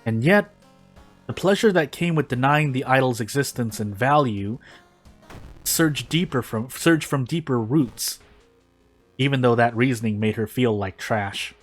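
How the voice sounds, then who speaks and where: A man's voice: medium pitch, a little slow, soft background music. Man narrating a story dramatically. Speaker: reader of a fan fiction story.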